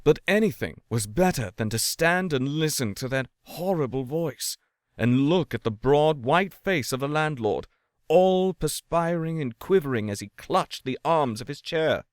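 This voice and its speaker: A man's voice: on the high side, lively intonation, measured pace, no room sound, clean studio sound. A man giving a dramatic reading of a literary tale as the narrator. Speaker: story reader.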